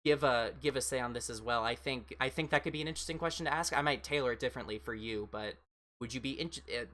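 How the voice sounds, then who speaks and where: A woman with an American accent and a fairly deep voice, chatting casually as a livestream host and replying to viewers in a rambling, reassuring way. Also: quick pace, fairly bright tone. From United States.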